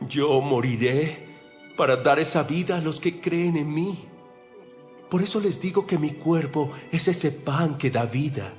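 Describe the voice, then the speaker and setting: Guy playing a solemn holy teacher in an audio bible drama, really slow and sure of himself, bit of music under it. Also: on the high side, darkish tone, close to an everyday voice. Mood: solemn, assured. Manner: solemn, deliberate, declarative.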